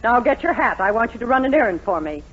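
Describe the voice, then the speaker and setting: A woman acting a stern, tart middle-aged matron in a 1940s radio sitcom, brisk, sarcastic and commanding. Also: on the high side, sing-song, slightly rough. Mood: bossy. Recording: muffled 1940s radio recording.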